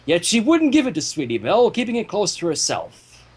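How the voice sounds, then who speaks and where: A man reading fan fiction aloud as the narrator, in an animated storytelling style. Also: high voice, fairly quick, slight background noise.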